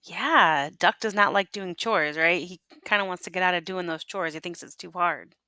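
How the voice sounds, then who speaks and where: Bright-voiced woman reading a storybook aloud. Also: lowish voice, normal speed, clean tone, no room sound, clean studio sound. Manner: teacherly, prompting listeners with questions. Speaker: teacher reading a picture book aloud to children. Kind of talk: expressive read-aloud storytelling with asides and questions.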